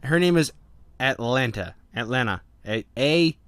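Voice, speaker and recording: A man's voice: mid-range voice, lively intonation, unhurried, a bit bright, clear, resonant tone, no room sound. A man, not a native English speaker, brainstorming in a casual, profane chat and laughing often. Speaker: co-host of a writing session.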